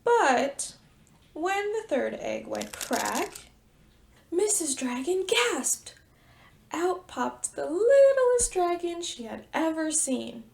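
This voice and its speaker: A woman preschool teacher doing an expressive storytime read-aloud, speaking directly to young children. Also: very high voice, slow pace, gravelly, slight background noise. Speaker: preschool teacher reading a picture book.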